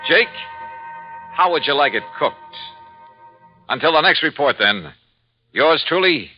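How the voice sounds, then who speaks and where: An old, dull radio recording of a hard-boiled American investigator. He is sure of himself and wry, swinging his pitch through crisp, emphatic narration, with music around him. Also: animated, unhurried. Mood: brisk, wry. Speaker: lead radio actor. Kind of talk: 1950s radio detective acting.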